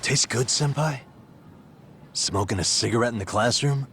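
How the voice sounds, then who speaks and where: A man's voice: medium pitch, moderate pace, very noisy, lightly acted. An actor playing a cool, sardonic male detective in a video game, confident, needling and stern. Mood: mocking.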